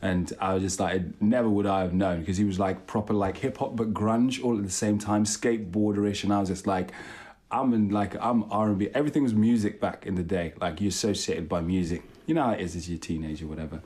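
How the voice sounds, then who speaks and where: Young man, low pitch, British accent, casual storytelling, chatty and self-deprecating, podcast co-host. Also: fast talker, darkish tone. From born in London, raised partly in Italy and England.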